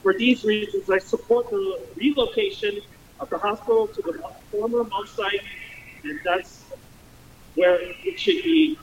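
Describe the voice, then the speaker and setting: A man's voice: high voice, lively intonation, measured pace, lo-fi sound, a little hiss or hum. A man giving a formal debate statement as a candidate.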